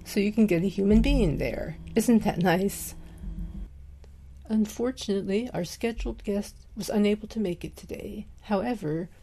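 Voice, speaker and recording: A woman speaking as a podcast host, reading introductions and sponsor notes in a measured, plainspoken way. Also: medium pitch, warm tone, husky, low-quality recording.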